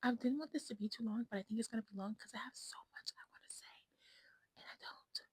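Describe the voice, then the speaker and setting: A sassy woman of twenty-five, chatting casually to camera on a new mic and slipping between breathy whispers and loud asides. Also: fairly high pitch, fast, no room sound. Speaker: vlogger. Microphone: new desk mic.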